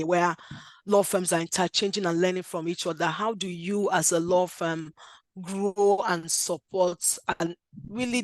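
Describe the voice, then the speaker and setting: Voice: mid-range voice, dry recording. A speaker with a Nigerian accent, a lawyer giving an earnest talk at a workshop with frequent "um" fillers and restarts. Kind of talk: conference speech.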